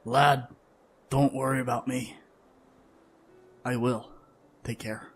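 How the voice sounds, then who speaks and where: A man's voice: mid-range voice, fairly even intonation, slow, breathy edge. A man playing a steadfast, resigned middle-aged shopkeeper in an audio drama. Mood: resolute, earnest.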